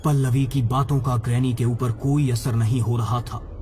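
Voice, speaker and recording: A man's voice: mid-range voice, steady tone, moderate pace, warm tone, a little hiss or hum. A man narrating a scripted horror story for a cartoon. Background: background music.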